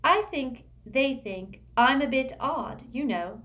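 A serene, unworldly teenage witch girl from a storybook, as a woman reader puts her on. Her voice floats up high and light, airy and lilting, saying strange things quite calmly. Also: slow pace, heavily acted. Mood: dreamy. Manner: airy, matter-of-fact, lilting.

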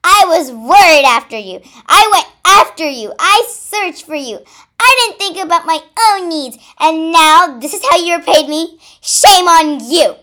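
Woman acting reproachful big sister critter, high-pitched. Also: expressive, unhurried, bright, forceful tone, full character voice. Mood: hurt, angry. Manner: emphatic, dramatic delivery. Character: protective older sister animal, hurt and reproachful.

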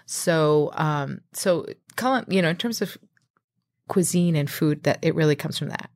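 Middle-aged woman guest, low voice, halting and full of fillers, dry close recording. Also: a little mellow, dry recording. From Mexico. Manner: hesitant, many fillers and restarts. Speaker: guest, chef and restaurateur. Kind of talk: casual interview talk.

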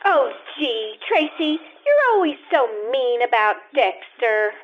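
A very high, bouncing voice of a cheeky kid sister played by a woman. She is blunt, pouty and eager, drawing out her lines in an old-time radio play with a muffled, faintly noisy sound. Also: very animated, slow pace, full character voice. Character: cheeky young girl, outspoken kid sister. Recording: muffled, noisy live radio stage recording.

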